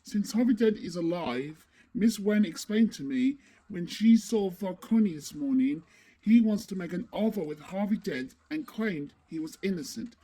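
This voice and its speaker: A woman playing a stern, determined masked vigilante in a superhero audio drama, with a low, husky voice and terse, serious manner. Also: lively intonation, unhurried, dark tone, low-quality recording.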